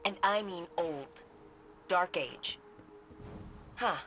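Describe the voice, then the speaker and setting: A woman's voice: lowish voice, drawn-out words, fairly bright tone, slight background noise. A woman acting an earnest, puzzled young investigator in a video game, thinking aloud in a conversational way as she briefs soldiers. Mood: curious, puzzled.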